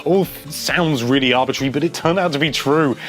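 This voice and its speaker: A man's voice: fairly high pitch, a little fast, a bit bright, faint music behind. A man hosting a casual video, reading out viewer comments and chatting about them in a rambling, enthusiastic way.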